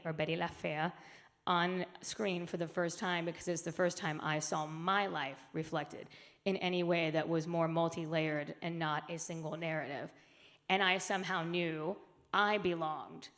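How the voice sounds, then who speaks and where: Woman, conference keynote, earnest, emphatic, low-ish voice. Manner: earnest, emphatic, frequent fillers. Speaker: conference speaker. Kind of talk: keynote talk. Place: conference hall.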